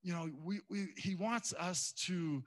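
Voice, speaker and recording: A man's voice: high-pitched, a little slow. Conversational male preacher. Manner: conversational, acts out quoted voices. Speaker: pastor. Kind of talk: sermon.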